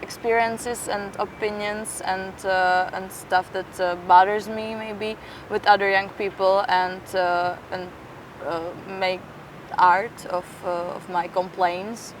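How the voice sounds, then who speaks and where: A woman's voice: level tone, slow. A young woman talking casually in an interview, hesitant and informal, often stalling mid-sentence. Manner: hesitant, filler-laden, informal. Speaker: youth project participant being interviewed. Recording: slightly distant mic, faint rumble.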